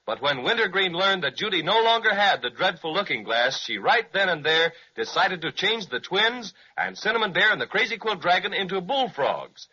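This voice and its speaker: A man narrating a children's radio serial in an animated storytelling manner. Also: high voice, moderate pace, hardly any pauses, breathy edge. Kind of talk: scripted narration. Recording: dull lo-fi 1930s radio recording.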